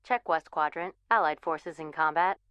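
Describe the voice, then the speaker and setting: A woman's voice: low voice, normal speed, clear, resonant tone, no echo, dull audio, lightly acted. A woman playing a composed military command operator, giving clipped, impersonal tactical announcements in a video game.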